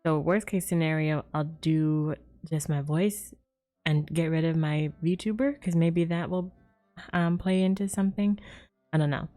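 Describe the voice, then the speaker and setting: A woman's voice: low voice, steady tone, a little slow, mellow, soft background music. A woman livestreamer chatting casually and a little hesitantly to viewers in an easygoing way. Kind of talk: casual gaming stream chat.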